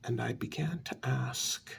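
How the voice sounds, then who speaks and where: A man telling a story in a soft-spoken ASMR style, breathy and deliberate, with many dramatic pauses. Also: medium pitch, a little hiss or hum. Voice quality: breathy half-whisper with heavy creak. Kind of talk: soft-spoken ASMR story narration, first-person role-play.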